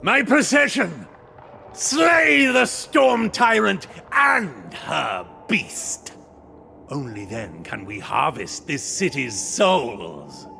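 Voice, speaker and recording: A man's voice: on the high side, big pitch swings, drawn-out words, gravelly, pushed and bright, raised voice, a little hiss or hum, exaggerated voice. A man voicing a vengeful undead knight spirit in a game, arrogant, menacing and grandiose in delivery. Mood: triumphant fury. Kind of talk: video game cutscene acting.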